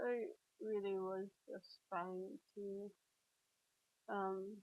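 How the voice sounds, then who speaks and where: A woman's voice: mid-range voice, dry recording, narrow, tinny audio. A woman with a stuffy, congested voice gives casual, rambling commentary over a building video, full of fillers and restarts. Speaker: video creator.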